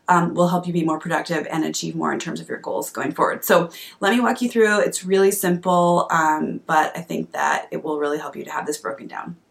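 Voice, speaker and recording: A woman in her thirties hosting a podcast at home, hoarse from a cold, talking in a chatty, earnest way. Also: fairly low pitch. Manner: chatty and earnest, with fillers and coughs. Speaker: podcast host and coach. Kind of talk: casual solo podcast talk. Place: at home at night.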